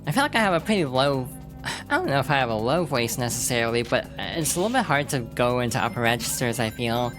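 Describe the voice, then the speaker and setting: A woman's voice: a bass voice, very animated, fast, fairly bright tone. A woman streamer rambling through casual let's-play commentary, with lots of fillers and restarts. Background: game music.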